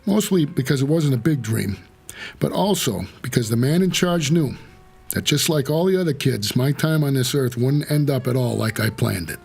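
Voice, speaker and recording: An actor playing a world-weary, streetwise New York cab driver, narrating a noir audio drama in a wry, hard-boiled way with a gravelly, husky voice. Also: male voice, medium pitch, expressive, normal speed, darkish tone, soft background music. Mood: weary, resigned.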